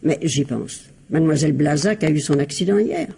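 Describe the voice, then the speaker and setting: A woman playing an elderly, falsely sweet, gossipy and scheming mother-in-law in a radio mystery, in a husky, insinuating, theatrical manner. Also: deep voice, brisk, warm tone, full character voice. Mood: falsely concerned. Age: older. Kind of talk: radio mystery drama acting.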